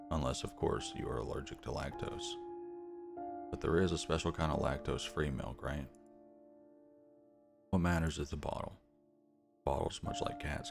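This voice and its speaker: Young man, extremely low pitch, heavy gravelly creak, game streamer, reading story text aloud, casual asides. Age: young adult. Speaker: streamer playing a visual novel. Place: small room at home, indoors. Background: game music.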